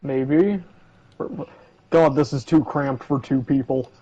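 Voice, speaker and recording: A man's voice: medium pitch, slow, warm tone. A young man in casual, sweary, joking banter while playing a game over voice chat. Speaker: co-op gaming partner. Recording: dull voice chat audio.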